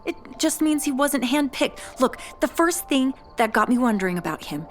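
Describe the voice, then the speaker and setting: A woman's voice: high voice, animated, normal speed, soft background music. An actress playing a determined, suspicious mother turned amateur detective, earnest and insistent, in an audio adventure drama.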